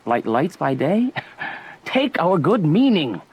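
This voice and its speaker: Husky, wildly expressive Shakespearean young nobleman. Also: on the high side, takes it slowly, full character voice. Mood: playful banter. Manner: playful, declamatory, relishing each phrase. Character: witty, teasing young nobleman, mercurial and fanciful. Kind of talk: theatrical Shakespearean verse acting.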